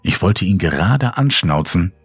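Casting: male creepypasta narrator, very low and husky, dry close sound, faint music bed. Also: animated, a little slow, dry recording. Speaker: horror story narrator. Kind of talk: scripted dramatic narration, creepypasta reading. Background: music under the voice.

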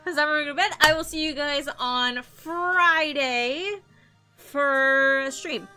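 A woman's voice: sits high, unhurried, pushed and bright, faint music behind. A young adult woman streamer wearing a headset, chatting playfully with her viewers as she signs off. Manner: chatty, playful, addresses chat as guys. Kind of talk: casual stream sign-off. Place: small room indoors.

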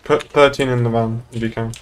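A man's voice: medium pitch, fairly even intonation, a little fast, soft background music. A young adult man chatting casually and bluntly in a roleplay game, swearing often, on a streaming mic. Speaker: streamer playing a police boss in a roleplay game. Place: indoors at a streaming setup.

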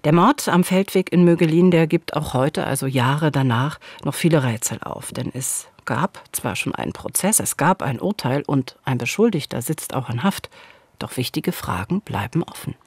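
A middle-aged woman co-hosting a true-crime podcast, narrating from a script in a measured, deliberate way at a studio microphone. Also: sits low, expressive, slightly rough, no echo. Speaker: podcast co-host. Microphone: studio microphone on a boom. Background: music.

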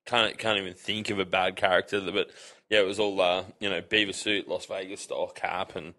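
An Australian man as a podcast guest, talking in a relaxed, rambling way full of fillers. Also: sits low, a little fast. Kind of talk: casual chat.

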